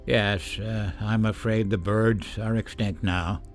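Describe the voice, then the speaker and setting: Hesitant, uneasy underground war official. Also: male voice, on the low side, steady tone, faint music behind. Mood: weary, reflective. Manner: hesitant, halting, reserved. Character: anxious, evasive father, a war institute official underground. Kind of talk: radio drama acting.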